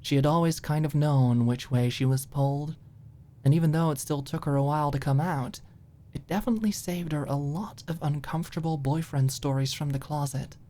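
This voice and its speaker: A woman with a low voice reads a scripted story as an audiobook narrator. The delivery is in the style of an ASMR audio narration. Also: fairly even intonation, a little fast, warm tone.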